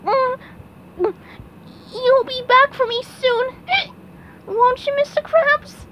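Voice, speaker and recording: A woman's voice: extremely high pitch, slow pace, a bit bright, heavily acted. A woman voicing an eager, anxious young male sea sponge in a hobby cartoon dub, with a whiny, childlike, exclaiming manner. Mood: nervous. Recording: muffled, clearly noisy home recording.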